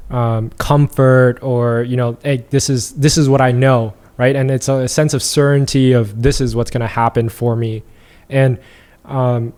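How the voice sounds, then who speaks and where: A man's voice: mid-range voice, darkish tone. A young man, a podcast guest, talking casually and rambling with lots of fillers and restarts. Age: young adult. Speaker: podcast guest, apparel brand founder. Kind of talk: casual interview conversation.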